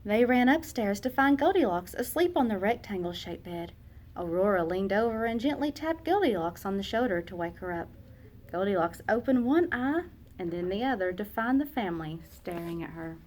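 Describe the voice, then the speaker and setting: A woman teacher reading a children's picture book aloud, slow and expressive for young listeners. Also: mid-range voice.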